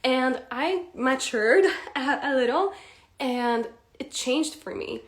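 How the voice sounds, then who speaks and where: A young woman coaches English live, chatty and quick to laugh as she speaks to her viewers. Her voice sits a little high. The room gives her an echoey ring. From Poland. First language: Polish. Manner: lively, laughs often, addresses viewers directly. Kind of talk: live-stream teaching chat.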